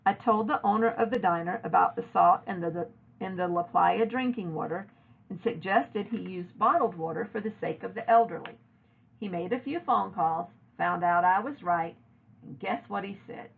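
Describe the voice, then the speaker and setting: Woman reading as firm mother, husky voice. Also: fairly low pitch, normal speed. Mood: pleased. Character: tense, weary mother of a young girl. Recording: narrow, phone-like lo-fi audio.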